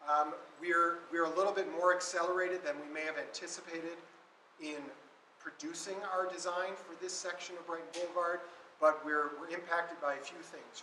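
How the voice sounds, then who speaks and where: A man presenting a city project at a public meeting, with a loud voice and many ums and restarts. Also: on the high side, recorded from a distance, slight background noise. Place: meeting room in an events complex. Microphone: walking away from the microphone. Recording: echoey room, voice from off-mic.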